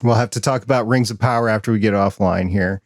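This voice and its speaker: A man hosting a podcast interview, asking questions in a relaxed, conversational way. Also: lowish voice, fast, no room sound, clean studio sound. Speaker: podcast host. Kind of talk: casual interview.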